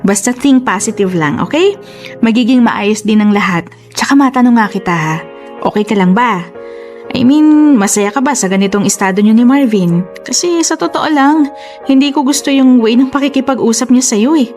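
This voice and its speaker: A woman's voice: a little high, expressive, normal speed, a little mellow, faint music behind, natural voice. A woman playing a confident, supportive older sister and business partner in a Filipino radio drama, conversational and encouraging. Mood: reassuring, concerned. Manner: encouraging, conversational, English-peppered.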